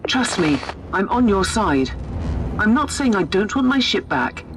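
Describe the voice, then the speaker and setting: A sardonic starship captain from a video game, a loyal ally with a wry edge. The delivery swings expressively in pitch. It comes over a radio link with clear noise behind it. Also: male voice, big pitch swings, a little slow. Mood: wry relief. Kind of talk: dramatic video game voice acting.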